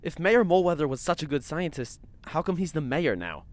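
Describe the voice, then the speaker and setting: An actor playing an irreverent, puzzled young podcast sidekick in an audio drama, talking casually and flippantly. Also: male voice, fairly high pitch, sing-song, a little fast, no echo.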